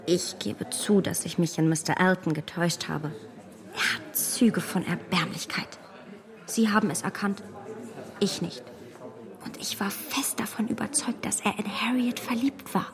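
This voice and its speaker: Soft, breathy young lady played by an actress. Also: medium pitch, unhurried, natural voice. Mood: rueful, confiding. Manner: soft, half-whispered, confiding. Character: contrite, candid young gentlewoman. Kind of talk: German radio drama acting.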